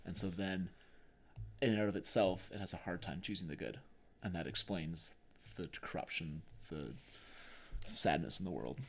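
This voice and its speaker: A man with a slightly low voice speaks as a podcast co-host. He talks casually and earnestly, as in a conversation. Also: normal speed, creaky, pauses often.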